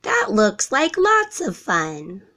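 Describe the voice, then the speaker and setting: Storybook young boy elephant, played by a woman: small, lonely, earnest, with animated, childlike delivery. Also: medium pitch, slow pace, full character voice. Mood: hopeful. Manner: earnest, childlike pleading and wondering.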